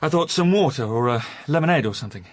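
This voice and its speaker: An actor playing a tipsy, anxious young Oxford student with an upper-class English accent, hesitant and apologetic, in a radio drama. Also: male voice, medium pitch, expressive, quick pace, dry recording, natural voice. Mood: sheepish, hazy. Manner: hesitant, apologetic, plummy politeness.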